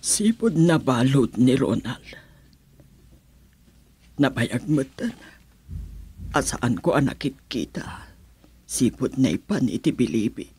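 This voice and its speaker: A woman's voice: extremely low pitch, expressive, takes it slowly, pauses often, dark tone, breathy edge, full character voice. A woman with a Filipino accent playing a loving, long-suffering mother in an Ilocano radio drama, emotional in her delivery. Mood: sorrowful longing.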